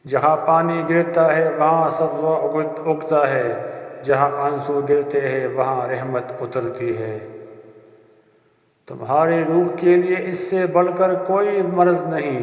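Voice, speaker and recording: A man giving a scripted narration of inspirational spiritual quotes in a solemn, deliberate way with measured pauses. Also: on the high side, steady tone. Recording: echoey, long reverb.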